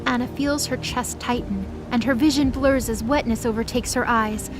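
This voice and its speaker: A woman narrates a dramatic story from a script. Her voice sits somewhat high, and music is clearly heard behind her. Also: fairly even intonation, normal speed, rough recording.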